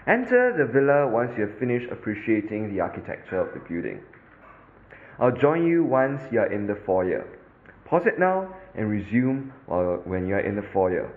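Lively Singaporean man guiding a tour. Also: on the low side, normal speed, narrow, tinny audio. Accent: Singaporean English. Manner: instructive, lively, with occasional fillers. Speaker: podcast host and audio tour guide. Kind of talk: scripted audio tour narration.

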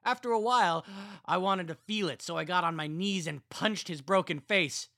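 A man voicing a young jailed killer who talks to his family with bitter contempt and builds to heated shouting. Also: fairly low pitch, lively intonation, moderate pace, no room sound, clean studio sound, voice pushed up. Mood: gloating.

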